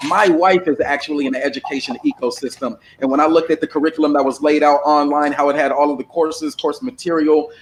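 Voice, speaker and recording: A man's voice: a little high, fairly even intonation, quick pace, few pauses, slight background noise. A man hosting a casual livestream panel discussion, talking bluntly and with plenty of profanity over a desktop microphone. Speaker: panel host.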